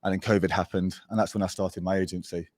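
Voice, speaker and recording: Young man, English accent, deep voice, casual guest chat, dry mic. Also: fast, dry recording. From born in Portugal, raised in Spain. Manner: relaxed, rambling, many fillers. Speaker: guest, agency founder. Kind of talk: casual podcast interview.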